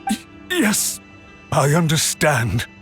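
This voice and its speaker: A man's voice: on the high side, wildly swooping pitch, takes it slowly, heavily acted. An actor playing a cowed, deferential male servant in a video game, speaking hesitantly and subserviently. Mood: frightened. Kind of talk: video game dialogue acting. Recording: background music under the voice.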